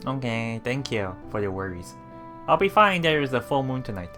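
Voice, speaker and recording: A man's voice: medium pitch, very animated, moderate pace, a bit bright. A man hosting a let's play, reading visual novel text aloud and adding casual, reactive asides. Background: game music.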